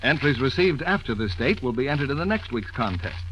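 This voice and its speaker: American male announcer, 1940s radio ad style, lively, muffled recording with faint noise. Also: mid-range voice, brisk. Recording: dull, faintly noisy old radio recording.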